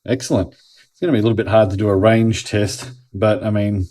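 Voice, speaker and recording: Man, Australian accent, fairly low pitch, casual reviewer, conversational, explanatory. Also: mellow. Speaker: host of a product review channel. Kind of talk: casual product review to camera.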